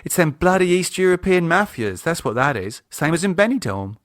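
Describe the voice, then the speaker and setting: A man's voice: fairly high pitch, big pitch swings, a little fast, fairly bright tone, dry recording, clean studio sound, pitched above the performer's own voice. A man voicing a brash, blunt working-class British woman with slangy talk in an audiobook. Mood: indignant. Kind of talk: audiobook character acting.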